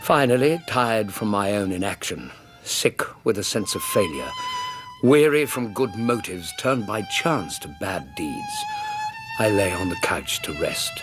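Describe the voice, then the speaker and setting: An actor playing an earnest, loyal Victorian gentleman companion who narrates a radio drama, with a British accent and an expressive, literary delivery. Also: medium pitch, measured pace, music in the background. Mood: melancholy. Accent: British English.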